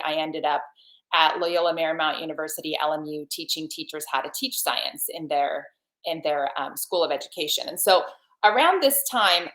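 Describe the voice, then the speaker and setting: A woman in her forties from Serbia, a podcast guest telling her life story in an earnest, lively way, often restarting her phrases, over a video call. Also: deep voice. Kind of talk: personal storytelling in a podcast interview. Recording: roomy video call audio.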